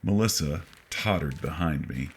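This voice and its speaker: Very deep-voiced man narrating horror. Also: expressive, a little slow. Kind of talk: scripted first-person horror narration. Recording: faint background noise.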